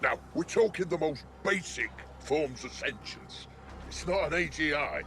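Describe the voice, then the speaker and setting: A man's voice: a little high, lively intonation, a little slow, fairly bright tone, a little hiss or hum. A man playing a wounded, weary, foul-mouthed technician in a video game, talking in a rambling, slangy grumble. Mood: explanatory. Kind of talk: video game character dialogue.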